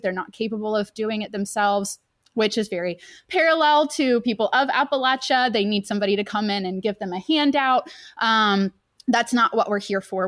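A woman's voice: mid-range voice, fairly bright tone, no room sound, studio quality. A woman, introducing guests at a live event, earnest and with many "um" fillers. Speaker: program director introducing guests. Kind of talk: prepared remarks at a live event.

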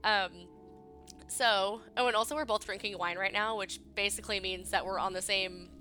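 A woman's voice: medium pitch, steady tone, normal speed, sharp tone, soft background music. A young woman co-hosting a casual podcast at home. She is recovering from a cold, sounds a bit sniffly, and chats loosely with lots of ums and laughs. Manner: chatty, laughs often, many ums. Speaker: podcast co-host. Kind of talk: casual true crime podcast chat. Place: at home, remote call.

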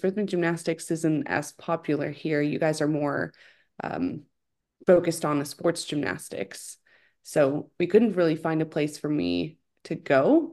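Young woman from Ukraine, lowish voice. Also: darkish tone. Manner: friendly, chatty storytelling. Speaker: guest, dancer. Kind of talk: casual interview.

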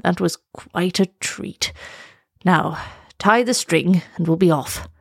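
A woman voicing a grumpy but obliging old turtle in a children's storybook, muttering and unhurried. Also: on the low side, creaky, breathy edge, no echo, clean studio sound, full character voice.

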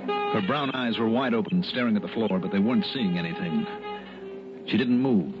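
A man's voice: lowish voice, expressive, normal speed, dull audio, close to an everyday voice. An actor playing a hard-boiled male insurance investigator who narrates a 1960s radio detective drama in a clipped, wry manner. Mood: grim narration. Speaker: lead and narrator. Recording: band-limited old radio, music behind.

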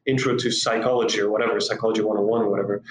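This Costa Rican man in his thirties talks in a fairly low voice, and his accent has a slight South African tinge. He reflects slowly, with fillers and restarts. The room is echoey. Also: flat delivery, a little hiss or hum. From Costa Rica. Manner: thoughtful, hesitant, many fillers and restarts. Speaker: guest. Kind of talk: reflective personal storytelling.

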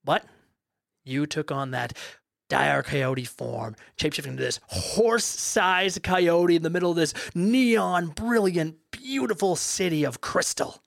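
A man's voice: on the high side, measured pace, vocal fry, dry recording, clean studio sound. A man acting as game master on a tabletop game podcast, bantering and joking with his players before recapping the last fight. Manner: animated, joking, swears casually.

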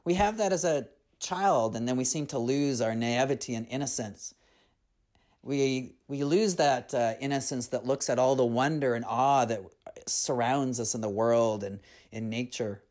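Slow-paced storyteller, earnest and reflective. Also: mid-range voice, a little mellow. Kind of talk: scripted storybook narration with reflective commentary.

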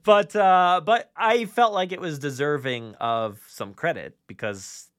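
A man's voice: a little high, measured pace, fairly bright tone, no echo, clean studio sound. A man hosting a podcast in casual fan chat, enthusiastic but often restarting and repeating his phrases. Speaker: podcast host.

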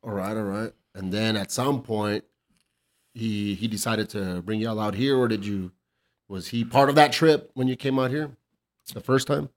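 A man's voice: on the low side, normal speed. A man hosting a laid-back podcast, chatting casually in slangy, sweary talk and often chiming in with agreement. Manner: encouraging, often interjects agreement. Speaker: podcast host.

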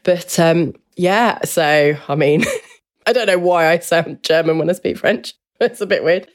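A woman's voice: on the low side, unhurried. A woman hosting a podcast who trains language teachers, asking questions in an encouraging, conversational way. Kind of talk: casual interview chat.

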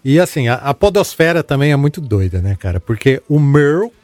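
A man hosting a casual podcast. He chats in a relaxed way and reads listener messages aloud, with many fillers and repeated words. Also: mid-range voice, brisk, no echo, faint music behind. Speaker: podcast host.

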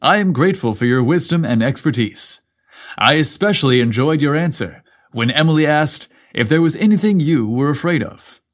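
A man's voice: fairly high pitch, lively intonation, normal speed. A man voicing a flustered, polite male schoolteacher who stammers and fumbles over his words in a story. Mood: grateful. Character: flustered, eager male elementary school teacher, gushing politely.

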